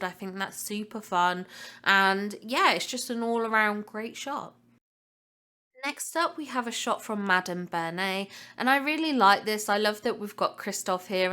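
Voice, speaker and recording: Bright, chatty woman, a bit stuffy. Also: medium pitch. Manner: chatty and enthusiastic, repeats words for emphasis. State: slightly congested from a cold. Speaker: gaming channel host. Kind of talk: casual game commentary vlog.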